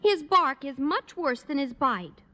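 A woman's voice: high voice, animated, unhurried, pushed and bright, full character voice. A woman playing an earnest, anxious teenage schoolgirl in 1950s radio comedy style. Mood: eager, coaxing. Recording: noisy old radio recording.

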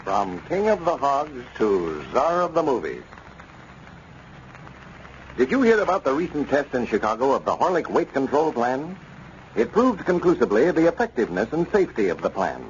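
A man's voice: mid-range voice, sing-song, normal speed. A man with an American accent reading a scripted advertisement as a polished, formal announcer on old-time radio. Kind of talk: 1930s-40s scripted radio advertising read. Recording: dull, noisy vintage radio recording.

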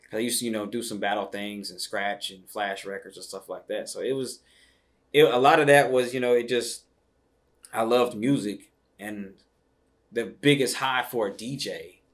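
A middle-aged man speaks in a slightly low voice. He rambles comfortably through his memories, full of "you know" asides, as the guest in a sit-down studio interview. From Indianapolis, Indiana. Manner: relaxed, rambling, many "you know" fillers. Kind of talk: casual interview storytelling.

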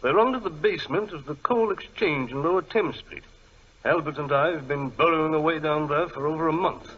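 A dull old radio recording of a British gentleman archaeologist. He is nervous and fussy, stammering and repeating himself in a husky, fairly high voice. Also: a little fast. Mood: explaining. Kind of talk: 1940s radio acting.

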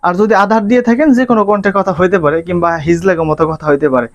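High-pitched man giving instructions. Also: lively intonation, very fast, dull audio. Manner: instructional, addressing viewers directly. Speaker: tech tutorial host. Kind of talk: casual step-by-step phone tutorial narration.

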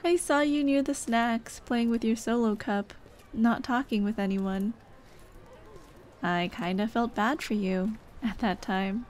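A young woman voicing a dead girlfriend's caring, gently nagging ghost in an ASMR roleplay drama, tender and coaxing. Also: a little high, measured pace, slight background noise, close to an everyday voice. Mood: fondly nostalgic. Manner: tender, coaxing, soft-hearted scolding. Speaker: roleplay voice actress.

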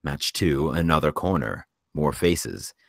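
A man's voice: very deep voice, unhurried, gravelly, dry recording, studio quality. A man reading screenplay stage directions as scripted narration for a table read.

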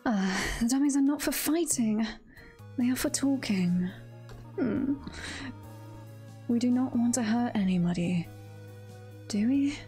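Breathy, gentle monster mother voiced by a woman. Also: on the high side, expressive, unhurried, pauses often, faint music behind. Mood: gently chiding. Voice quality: breathy, half-whispered. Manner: formal, careful phrasing. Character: gentle motherly monster woman, soft-spoken and protective guide.

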